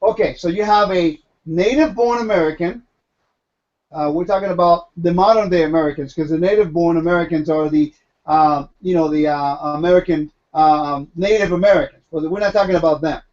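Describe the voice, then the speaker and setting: A man's voice: a little high, brisk, a little mellow, highs cut off. A man from Puerto Rico teaching Torah in a question-and-answer session, emphatic and posing questions he then answers himself. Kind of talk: Q&A Bible teaching.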